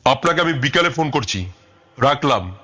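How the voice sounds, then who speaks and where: An actor playing a brooding, resolute detective in an audio story, speaking in a deliberate, dramatic way. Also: male voice, medium pitch, lively intonation, sharp tone, slightly rough. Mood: pensive. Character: brooding, resolute male detective, shrewd leader. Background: music.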